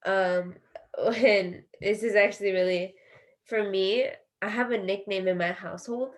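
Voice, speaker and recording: A woman's voice: mid-range voice, steady tone, measured pace. A woman sharing personal thoughts on a panel in a casual, self-effacing way, leaning often on the word "like". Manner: informal, self-effacing, frequent "like". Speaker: panel participant.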